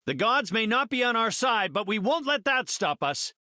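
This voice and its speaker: An actor playing an earnest middle-aged scientist superhero in a video game, speaking in an articulate, cerebral, heroic way. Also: male voice, extremely high pitch, big pitch swings, normal speed, pushed and bright, no echo, studio quality, full character voice. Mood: determined. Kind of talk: video game hero voice lines.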